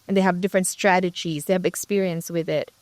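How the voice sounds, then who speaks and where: A woman's voice: lowish voice, fairly even intonation, quick pace, no echo. A woman from the Philippines, a ministry co-leader interviewed as a guest, telling her story in a reflective way. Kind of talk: conversational interview.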